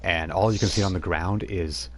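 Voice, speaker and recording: A man's voice: low-pitched, steady tone, moderate pace. A man running a tabletop role-playing game over voice chat, narrating loosely with many fillers and restarts. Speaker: game master.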